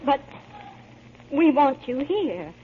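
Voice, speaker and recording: A woman's voice: sits high, very animated, slow pace, background noise, exaggerated voice. An actress playing a tender, plain-spoken widowed mother of grown sons, in 1940s radio drama style. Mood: worried, pleading. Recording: thin, narrow-band old radio broadcast.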